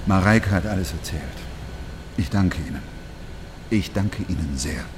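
An actor playing an earnest, troubled East German professor in a radio drama, hesitant at times and emphatic at others. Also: male voice, deep voice, a little slow, background noise. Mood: grateful, shaken.

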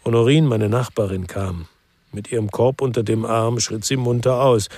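Male lead played by an actor: middle-aged ex-cop narrating radio noir, husky, lowish, close dry sound, wry and contented. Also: normal speed, a little mellow, no echo, lightly acted. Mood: contented, reflective. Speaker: first-person narrator. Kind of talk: scripted radio crime drama.

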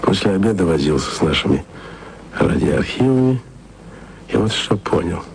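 An actor playing a wistful radio archivist who speaks softly and intimately to his beloved in a radio play. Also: sing-song, moderate pace, noisy background, full character voice. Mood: tender. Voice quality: low, gravelly voice. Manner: soft, intimate, sometimes whispered. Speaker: radio man speaking to his distant lover.

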